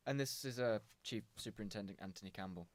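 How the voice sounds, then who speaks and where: Man acting a low-voiced, earnest police inspector. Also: quick pace, dry recording, close to an everyday voice. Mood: polite. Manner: questioning, matter-of-fact. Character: earnest, businesslike male police inspector investigating murder. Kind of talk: amateur radio drama acting.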